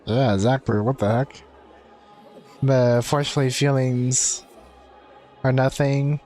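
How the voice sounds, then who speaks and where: A man's voice: mid-range voice, steady tone, unhurried, darkish tone. Male streamer, mid-range voice, colloquial reactions, faint game music behind. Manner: colloquial, exclamatory. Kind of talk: casual live reaction commentary.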